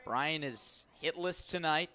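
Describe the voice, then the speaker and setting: Higher-pitched male baseball play-by-play announcer. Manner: measured narration rising to excited shouts on key plays. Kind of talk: live sports commentary.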